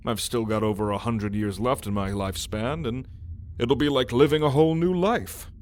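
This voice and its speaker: A man's voice: medium pitch, steady tone, a little mellow, slight background noise, close to an everyday voice. A man playing a big old male dragon in human form, wry and playful, in an ASMR roleplay story. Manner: wry, theatrical, unhurried.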